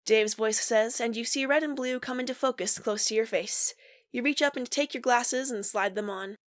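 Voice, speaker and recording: A woman's voice: fairly high pitch, normal speed. A woman co-reading a story aloud, narrating with casual asides. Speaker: podfic co-reader.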